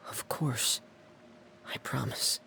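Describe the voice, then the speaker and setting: A man's voice: slow, in a whisper, natural voice. A man playing a shy, earnest teenage boy in an anime game, hesitant and subdued. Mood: obedient.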